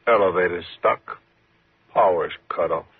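Sinister man, lowish pitch, laconic, ominous, coolly taunting, 1950s radio drama, muffled narrow-band recording. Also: measured pace, gravelly, husky, dry recording, full character voice. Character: sinister, coolly taunting male night elevator operator. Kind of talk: 1950s radio thriller acting.

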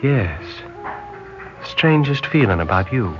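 An actor playing a polite, hesitant, earnest young sailor of nineteen in a 1960s radio drama. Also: male voice, mid-range voice, big pitch swings, slow pace, faint music behind. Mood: shyly wondering. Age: young adult. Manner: courteous, hesitant, genteel. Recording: dull lo-fi vintage radio recording.

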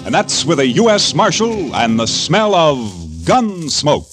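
Grave 1950s radio announcer over music. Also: male voice, a little high, big pitch swings, measured pace. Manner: grave, declamatory. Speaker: show announcer.

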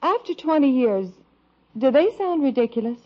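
An American actress playing a gentle, sentimental middle-aged wife and mother in a 1950s radio sitcom, on a dull old recording. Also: high-pitched, animated, moderate pace. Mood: wistful.

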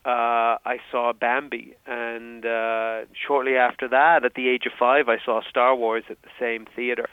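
An Irish man in his fifties, a guest on an interview podcast, talking in a halting, reflective way with many restarts. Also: mid-range voice, level tone, smooth, no echo. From Ireland. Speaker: guest, film historian. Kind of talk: casual interview. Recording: tinny phone line.

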